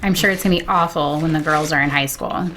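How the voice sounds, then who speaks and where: A middle-aged woman speaks in a somewhat low voice. She chats casually as a guest on a stream, with a little noise behind her. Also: fairly even intonation, quick pace. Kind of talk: casual chat.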